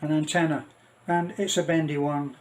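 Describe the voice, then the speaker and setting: A middle-aged man giving a casual, rambling unboxing commentary, halting and thinking aloud as he goes. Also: fairly high pitch, level tone. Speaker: vlogger.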